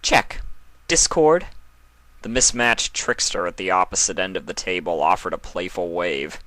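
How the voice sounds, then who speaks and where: Male narrator, deep pitch, crisp bright tone, expressive scripted storybook delivery. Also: normal speed, no echo. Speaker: fan fiction reader and narrator.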